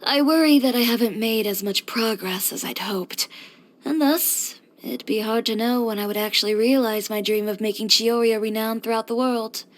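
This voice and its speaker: A woman's voice: a little high, normal speed, natural voice. A young woman voicing a confident, blunt fashion designer and boutique owner in a scripted video game, with a poised, direct delivery. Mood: thoughtful, determined. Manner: self-assured, direct, poised with dry sighs.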